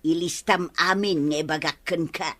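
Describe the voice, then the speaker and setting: An actress with an Ilocano accent playing a sharp-tongued, nagging middle-aged village woman in a radio comedy drama. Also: deep voice, fairly even intonation, normal speed. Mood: prying.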